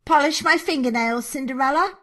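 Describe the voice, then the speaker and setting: A woman's voice: sits high, fairly quick, pushed and bright, no echo. A woman playing a vain, taunting young stepsister in a children's fairy tale, imperious and boastful. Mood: bossy.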